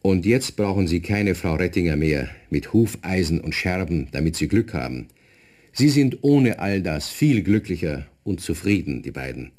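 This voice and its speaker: A man playing the genial narrator of a children's radio play, telling the story in an expressive way. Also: low-pitched.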